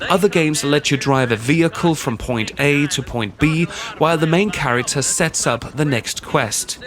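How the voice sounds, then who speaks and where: A man's voice: a little high, normal speed, fairly bright tone. A man hosting a video essay in scripted narration. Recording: music behind the voice. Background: background music.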